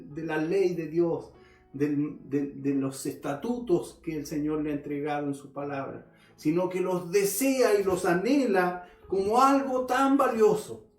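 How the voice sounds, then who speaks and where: A man preaching a sermon in a high voice and a slow, deliberate pace. He speaks earnestly and directly to his listeners as he reads scripture aloud. The recording is roomy and echoey, with a faint guitar behind him. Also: far from the mic. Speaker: pastor. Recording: roomy echo.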